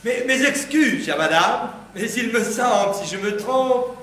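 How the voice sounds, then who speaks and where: A man playing an overly polite English gentleman from Manchester in an amateur stage play, with formal, halting courtesy. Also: high voice, lively intonation, a little hiss or hum. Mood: courteous. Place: theatre stage before an audience. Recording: very echoey hall, distant mic.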